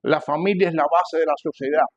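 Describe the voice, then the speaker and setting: Older man, Cuban Spanish accent, somewhat high voice, church sermon, emphatic, repetitive phrasing, loud-to-hushed swings. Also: steady tone, fairly quick, highs cut off. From Cuba. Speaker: preacher. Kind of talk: sermon, teaching a church congregation.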